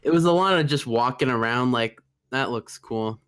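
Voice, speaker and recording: A young American man chatting casually on a podcast, relaxed and quick to laugh. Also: mid-range voice, smooth. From United States. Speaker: podcast co-host. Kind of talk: casual group chat.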